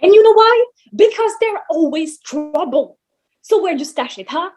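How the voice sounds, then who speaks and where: A woman's voice: very high-pitched, expressive, moderate pace, a little mellow. A woman playing a grumpy, sarcastic male god who runs a camp, in a theatrical musical table read, blustering and dismissive.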